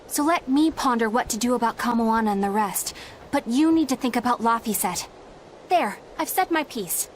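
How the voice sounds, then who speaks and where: A woman's voice: on the high side, animated, normal speed, a little hiss or hum, natural voice. An actress playing an earnest, dutiful young woman exorcist in a video game, speaking sincerely. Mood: firm. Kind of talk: dramatic video game voice acting.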